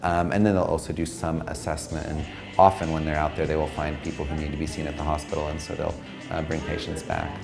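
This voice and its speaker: A man who is a hospital medical director, clearly explaining how the hospital's work is done. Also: very deep voice, flat delivery, fast, few pauses. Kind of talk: explanatory interview. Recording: music playing behind.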